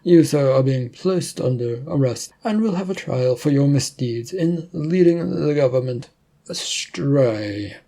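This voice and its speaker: A man voicing a cold, menacing male government agent in a story, formal, deliberate and accusing. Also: medium pitch, expressive, mellow. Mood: formal, chanting.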